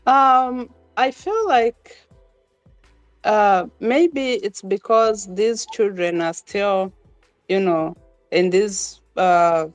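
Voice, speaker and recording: A woman's voice: medium pitch, drawn-out words, no room sound, soft background music. Chatty woman guest, dry close sound. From Uganda. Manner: laughs often, many restarts and fillers. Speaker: podcast guest. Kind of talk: casual interview conversation.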